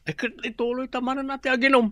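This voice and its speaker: A man's voice: very high-pitched, level tone, fast talker, fairly bright tone, no room sound. A man with an Ilocano accent acting an easygoing drinking buddy in a radio comedy-drama. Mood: concerned, joking. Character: easygoing male drinking buddy, urging another round.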